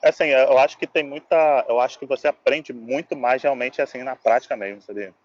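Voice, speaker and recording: A man's voice: medium pitch, fairly even intonation, brisk. Easygoing male interviewer. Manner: agreeable, lots of short backchannel replies and tag questions. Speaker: host. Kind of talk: casual interview chat. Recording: dull lo-fi sound.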